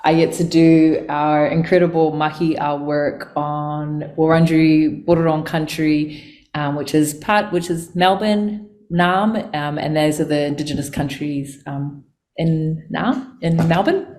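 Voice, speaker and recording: A woman in her forties from Samoa and New Zealand, talking in a relaxed, thoughtful way with frequent pauses as an interview guest. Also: sits low. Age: 40s. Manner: relaxed, thoughtful, many ums. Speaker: chocolate maker guest. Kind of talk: casual interview talk.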